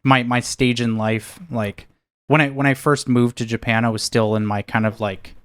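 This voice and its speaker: An American man in his thirties chats as a podcast co-host. His voice is clean and resonant at a middling pitch. He talks reflectively and haltingly, often pausing to find the right word. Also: flat delivery, a bit bright, clear, resonant tone. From United States, near Seattle. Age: 30s. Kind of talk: casual chat.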